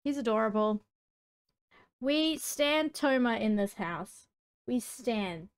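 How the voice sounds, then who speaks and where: A woman's voice: a little high, expressive, takes it slowly, clear, resonant tone. A young woman chatting casually and enthusiastically as a reaction video host. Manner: gushing, enthusiastic. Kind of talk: casual fan reaction chat.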